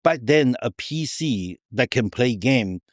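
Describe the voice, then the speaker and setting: A middle-aged man speaks as a podcast guest in a dry, close recording. His pitch sits in the middle, and he explains emphatically, often tagging phrases to check he is understood. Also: animated, slow, dry recording. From Taiwan, raised partly in Argentina. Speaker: interview guest, tech entrepreneur. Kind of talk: conversational podcast interview.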